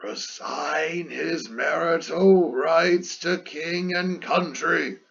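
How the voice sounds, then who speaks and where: Man performing embittered elder statesman, epic verse. Husky, higher-set, declamatory and emphatic; muffled lo-fi sound. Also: a bit bright, heavily acted. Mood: grave pleading. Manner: rhetorical, declamatory, slow and emphatic. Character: bitter, taunting male elder orator pleading for peace. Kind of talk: dramatic reading of epic verse.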